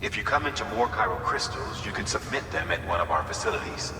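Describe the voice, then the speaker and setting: A man's voice: fairly low pitch, animated, fast talker, reverberant, long reverb tail, distant mic, noisy background, close to an everyday voice. A man playing a practical, businesslike facility staffer giving a matter-of-fact briefing in a video game.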